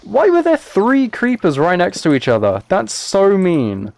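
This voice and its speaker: A man's voice: sits high, wildly swooping pitch, unhurried, vocal fry, a little mellow, slight background noise. A man live streaming a video game, chatting casually and thinking aloud in an understated, rambling way. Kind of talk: casual gameplay livestream chat. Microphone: microphone set up in front of him.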